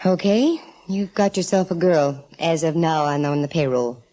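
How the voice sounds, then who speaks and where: Woman playing a sassy american lady detective from old radio, low mellow voice, dry and breezy. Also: normal speed, lightly acted. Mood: cool, dry. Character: wisecracking confident female private detective. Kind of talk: 1940s radio detective acting and narration. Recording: old 1940s radio recording, faint music.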